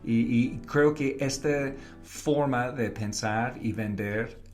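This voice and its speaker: A man from Canada speaks with a native English speaker's foreign accent, at a middling pitch. He answers interview questions in a halting, conversational way and mixes in English words. Faint music sits behind his voice. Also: darkish tone. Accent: English-speaking foreign accent in Spanish. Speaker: interview guest, advertising creative and professor.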